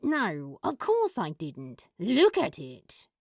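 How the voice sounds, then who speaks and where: A woman's voice: medium pitch, huge pitch swings, slow pace, no echo, studio quality, full character voice. A woman voicing an embarrassed, defensive male demon in a fan-made audio story, with hesitant delivery. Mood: indignant. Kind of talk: multi-voice fan fiction audio drama.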